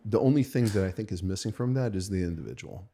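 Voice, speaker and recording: A low-voiced American man with a mellow, husky tone. He tells personal stories in an open, conversational way. He restarts often and tags his sentences with "right?". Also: sing-song. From United States. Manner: thoughtful and halting, many restarts and "right?" tags. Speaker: podcast guest, rabbi. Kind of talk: candid conversational storytelling.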